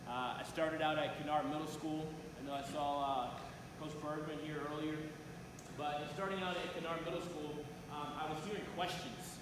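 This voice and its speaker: A man giving a motivational talk as a coach at a conference, addressing his audience directly with frequent hesitant pauses, in a large room. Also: fairly high pitch, steady tone. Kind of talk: motivational public presentation. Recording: very echoey noisy hall, long reverb.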